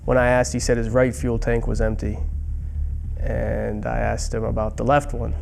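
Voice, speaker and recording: A man who works as an air traffic controller, explaining an emergency in a measured interview. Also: mid-range voice, little pitch movement, vocal fry, a little mellow. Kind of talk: interview recounting an event.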